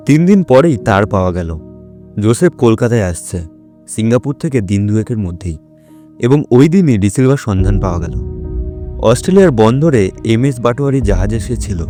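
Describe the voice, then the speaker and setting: A man's voice: lowish voice, dark tone. A man narrating a story for an audio drama in a scripted, dramatic storytelling style.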